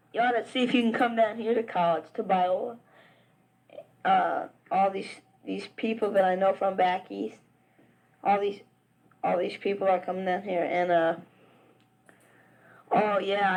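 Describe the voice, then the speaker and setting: A man's voice: high voice, vocal fry, darkish tone, rough recording. A teenage boy from Virginia with a Southern accent talks casually to a friend back home. He rambles from one thought to the next, with plenty of "uh" and false starts, like someone chatting into a tape recorder. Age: teens. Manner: rambling, chatty, full of "uh" and restarts. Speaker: high school wrestler. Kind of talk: casual spoken letter to a friend.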